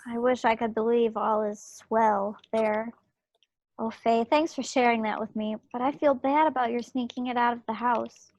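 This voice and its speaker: A woman playing a kind, gently chiding woman in an amateur play reading over a video call. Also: on the high side, steady tone, normal speed, no room sound, lightly acted. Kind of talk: amateur play table read. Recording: muffled dry video call.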